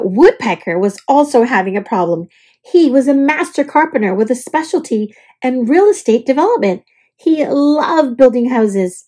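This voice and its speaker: Lady doing a kids read-aloud, high voice, really animated, bit husky. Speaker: storybook reader. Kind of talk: expressive children's read-aloud narration.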